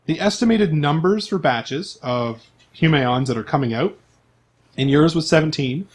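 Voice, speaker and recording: A man with a slightly high voice runs a tabletop role-playing game. He explains things conversationally and jokes casually with his players as he goes. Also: expressive, normal speed, slightly rough. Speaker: game master.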